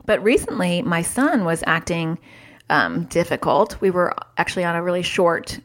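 A woman hosting a solo podcast, talking in a chatty, upbeat way with lively jumps in pitch. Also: moderate pace. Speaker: podcast host, parent.

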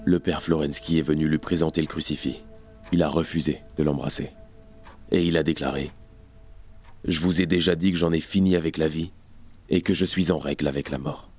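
An actor playing a somber, embittered revolutionary in an audio drama, speaking in a terse, grave way. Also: male voice, extremely low pitch, fairly even intonation, brisk, a little mellow, husky. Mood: grave, sorrowful. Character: somber, embittered revolutionary man recounting an execution. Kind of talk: audio drama acting in French. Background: music.

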